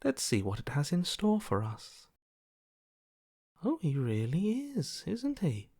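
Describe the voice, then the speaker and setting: Emotionless male inner voice, detached, dry sarcasm, slow delivery, wide pitch swings, game character. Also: huge pitch swings, a little mellow, dry recording, full character voice. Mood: dryly sardonic. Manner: detached, dryly sardonic. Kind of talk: scripted game voice acting.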